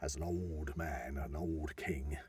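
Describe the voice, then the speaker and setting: A man's voice: very deep voice, steady tone, takes it slowly, a little mellow, breathy edge, dry recording. A man explaining things enthusiastically in a casual podcast chat, often wandering into asides as he tells the story. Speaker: podcast host.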